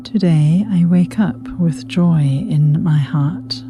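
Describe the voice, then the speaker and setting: A woman leads a guided meditation in a low voice. She speaks very slowly and soothingly, letting phrases trail into soft breath. Music plays prominently behind her. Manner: soothing, unhurried, spaced-out phrases with repetition. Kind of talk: guided meditation with spoken affirmations. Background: meditation music with singing bowl.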